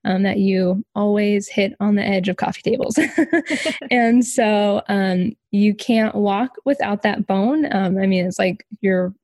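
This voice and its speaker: A woman's voice: mid-range voice, darkish tone, dry recording. A young woman, a podcast guest, tells her life story casually, with many filler ums and light laughs. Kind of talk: personal story in an interview.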